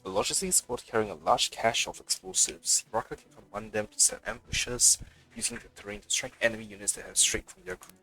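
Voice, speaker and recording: Male game streamer, low gravelly voice, bright delivery, casual, lively intonation, faint music behind. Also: brisk, poor audio. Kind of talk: let's play commentary, reading game text aloud.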